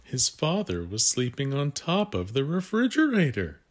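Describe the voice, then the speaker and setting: A man reading a picture book aloud to young children, with gentle asides that guide the listener. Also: medium pitch, huge pitch swings, normal speed.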